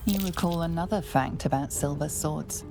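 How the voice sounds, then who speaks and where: A woman playing an ominous, all-knowing narrator of a dark fantasy game, telling it in a measured way. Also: sits low, gravelly. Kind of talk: scripted second-person narration. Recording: music behind the voice.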